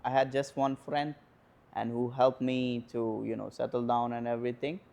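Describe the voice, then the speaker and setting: A man in his twenties with an Indian accent, a guest answering questions in a casual interview, hesitant with many pauses and fillers. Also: mid-range voice, flat delivery, gravelly, darkish tone, lo-fi sound. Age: 20s.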